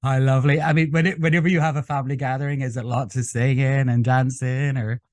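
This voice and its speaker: Dry sound, Irish man, mid-pitched, effusive, emphatic, casual interview host. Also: level tone, quick pace, a little mellow, no room sound. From Ireland.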